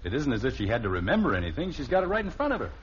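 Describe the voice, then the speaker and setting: A middle-aged American family man, grumbling yet soft-hearted, in an old radio comedy. His voice swings animatedly up and down. The recording is dull, with a faint layer of noise. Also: mid-range voice, huge pitch swings, rapid-fire. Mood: impatient, exasperated. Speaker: actor.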